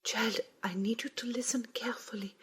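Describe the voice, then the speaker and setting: An actress playing a gravely wounded, stern sorceress in an audio drama, with a weak, whispery, urgent voice. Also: female voice, on the high side, steady tone, normal speed, a bit bright.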